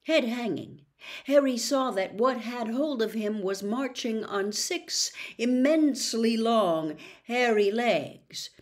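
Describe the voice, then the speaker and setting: Slow-paced woman reading a story dramatically. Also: fairly high pitch. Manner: expressive, suspenseful. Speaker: audiobook reader.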